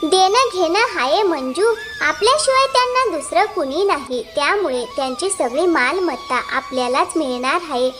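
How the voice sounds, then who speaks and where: A woman voicing a scheming, insistent elder married sister from a village in an animated moral story. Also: very high voice, normal speed, few pauses, background noise. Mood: pleading, persuasive.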